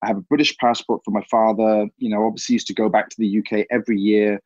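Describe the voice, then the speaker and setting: A man's voice: on the low side, flat delivery, fast, a little mellow, no echo. A man in his thirties from Hong Kong, answering interview questions in a rambling way, full of "you know". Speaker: interview guest. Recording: dull lo-fi sound.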